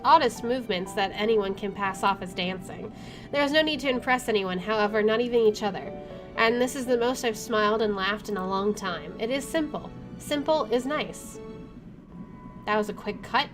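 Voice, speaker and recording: A woman hosting a visual novel playthrough, reading the narration aloud and commenting in an informal, reactive way. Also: fairly high pitch. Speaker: gaming video host. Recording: music behind the voice. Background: game music.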